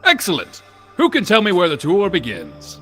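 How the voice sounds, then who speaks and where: A man's voice: sits high, huge pitch swings, moderate pace, pushed and bright, exaggerated voice. A man playing a sadistic pony doctor who guides a tour with theatrical, gloating mock courtesy in a horror story reading. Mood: mocking cheer. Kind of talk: dramatized horror fan fiction reading. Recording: long hall reverb, music behind.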